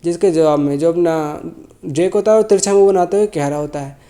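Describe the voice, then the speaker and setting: A man's voice: on the high side, fairly quick, mellow. A man with a sore throat casually recapping a story, often correcting and rephrasing himself as he goes. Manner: loose, self-correcting, often rephrases himself mid-sentence. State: sore throat, feeling unwell. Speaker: manhwa recap narrator.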